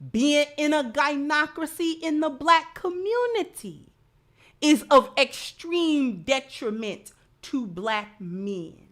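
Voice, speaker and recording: A woman's voice: on the high side, fairly bright tone. A woman giving opinionated live commentary as a host, emphatic, repeating phrases and posing rhetorical questions. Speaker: livestream host.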